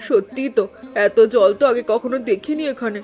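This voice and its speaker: A woman voicing a caring, neighbourly village woman in an animated story, concerned and soothing in manner. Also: on the high side, brisk, faint music behind, natural voice. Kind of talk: Bengali animated story dialogue.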